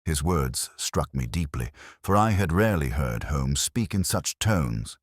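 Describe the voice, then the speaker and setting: A man reading scripted first-person literary narration in a measured, solemn manner. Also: very deep voice, lively intonation, no room sound, clean studio sound. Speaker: audiobook narrator.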